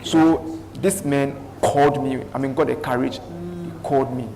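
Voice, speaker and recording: A man's voice: mid-range voice, unhurried, warm tone. A man speaking earnestly as a talk show guest, telling his life story with restarts. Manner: earnest, with restarts and thanks to God's grace. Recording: reverberant studio, faint background noise.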